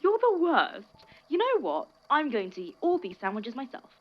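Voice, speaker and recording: A woman's voice: high-pitched, sing-song, normal speed, dull audio. A woman playing a flustered, easily exasperated friend in an audio drama, with a stammering, huffy delivery.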